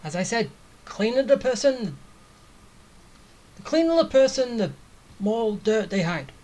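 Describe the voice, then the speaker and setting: A woman's voice: on the high side, big pitch swings, measured pace, warm tone. A woman giving casual playthrough commentary as a gaming streamer, in a playful, wry way.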